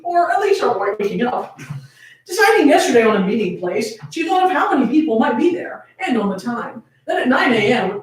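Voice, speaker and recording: Female fan fiction reader, high-pitched and swooping, theatrical and amused, heard from a distance in a very echoey space. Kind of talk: dramatic comic reading aloud, often breaking into laughter. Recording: roomy echoing sound, distant from microphone.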